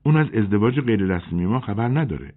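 A man voicing a nervous, fragile young woman in an audiobook, with a husky tone on tinny phone-like audio. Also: fairly low pitch, expressive, voice pushed up. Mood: anxious. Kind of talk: audiobook character voice.

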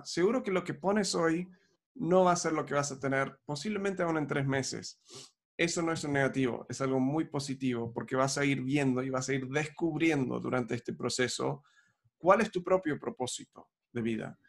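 A man's voice: fairly high pitch, normal speed, gravelly. A man, coaching in a casual chat, upbeat and peppered with fillers. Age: 40s.

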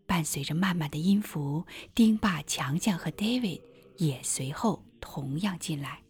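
A woman's voice: deep voice, lively intonation, unhurried, slightly rough, full character voice. A woman narrating a scripted radio drama in a storytelling style. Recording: music bed under voice. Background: background music.

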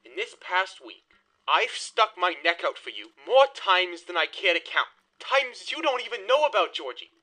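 Casting: hot-tempered male bootlegger, 1920s audio drama. Sharp, lively, high voice, sarcastic edge. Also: moderate pace, heavily acted, rough recording. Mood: bitter. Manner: sarcastic, accusing. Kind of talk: audio horror drama acting.

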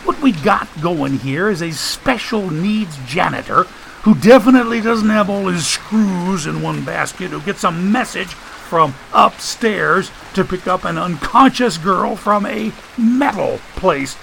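Folksy wisecracking guy played by a male narrator, high-pitched, mock-pompous, theatrically sarcastic, for a storybook. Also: expressive, a little slow, gravelly, a bit bright, slight background noise, heavily acted, rough recording. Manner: mangled big words, theatrical sarcasm.

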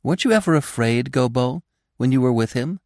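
An inquisitive young doe from a storybook as a man plays her. She sounds eager, with a raised pitch and animated tone. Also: a little fast, no echo, studio quality, close to an everyday voice. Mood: curious. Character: curious, eager young female deer asking questions. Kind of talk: storybook character voice.

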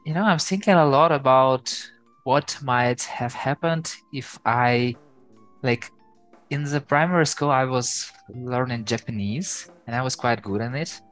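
A man with a Ukrainian accent, a guest on an interview podcast, talking in a lively, hesitant way full of fillers. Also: mid-range voice, unhurried, soft background music, poor audio. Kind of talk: casual interview chat.

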